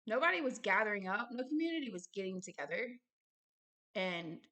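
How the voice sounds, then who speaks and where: An earnest woman hosts an informal religious video with a mid-range voice. She moves between reading aloud and her own commentary. Her delivery is halting, with insistent asides. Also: mid-range voice, big pitch swings, pushed and bright.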